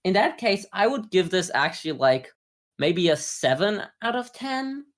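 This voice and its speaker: A man co-hosting a podcast, talking through his views in a measured, explanatory way in a casual review discussion. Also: high-pitched, big pitch swings, fairly bright tone. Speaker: podcast co-host.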